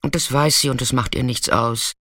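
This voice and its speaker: A man acting in a radio play as a weathered, fearless narrator, speaking in an understated, intimate way with hushed moments. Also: medium pitch, fairly even intonation, moderate pace, dry recording, studio quality. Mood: quiet, reflective.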